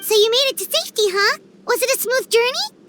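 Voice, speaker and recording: A woman voicing a small, excitable, childlike fairy companion in a video game, with a squeaky, bubbly delivery. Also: fairly quick, slight background noise, full character voice. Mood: curious. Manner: exclamatory, bubbly.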